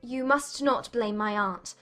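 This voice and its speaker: Period romance audiobook: female actor as a frank, well-mannered young gentlewoman, lightly high-pitched, formal and heartfelt. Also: takes it slowly, soft background music. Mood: grateful, sincere. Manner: polite, sincere, formal period phrasing. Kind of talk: dramatized audiobook acting of a classic romance.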